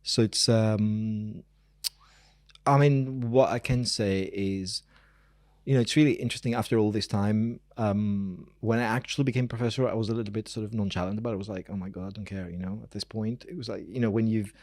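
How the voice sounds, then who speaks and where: A man's voice: lowish voice, fairly even intonation, brisk, a little mellow, dry recording, studio quality. A man, a professor talking casually and digressively as an interview guest. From Greece. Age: 40s. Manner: informal, digressive, emphatic repetition.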